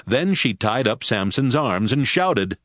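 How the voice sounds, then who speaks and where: A man's voice: mid-range voice, very animated, measured pace, a bit bright, no echo, studio quality. A man giving scripted narration for a Bible audio drama.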